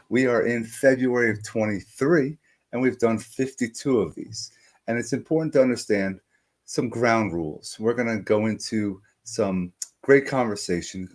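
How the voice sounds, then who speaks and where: A middle-aged man hosting a live podcast, energetic and enthusiastic, talking straight to his viewers. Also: fairly low pitch. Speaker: podcast host. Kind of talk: upbeat show introduction and interviewing.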